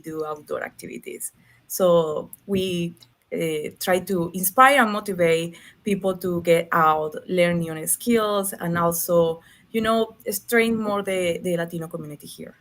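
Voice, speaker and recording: A woman from Venezuela with a Venezuelan Spanish accent, a native Spanish speaker, talking as a guest on an online panel over a video call, earnest and halting in her phrasing. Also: on the low side, a bit bright. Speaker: nonprofit leader, guest.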